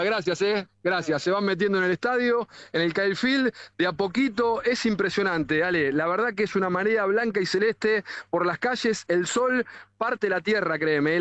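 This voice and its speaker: A man's voice: high-pitched, a bit bright, no echo. An Argentine man with a Rioplatense accent reporting live on sports radio and interviewing people on the street. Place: outdoors in the street outside a stadium. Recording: narrow band live radio link.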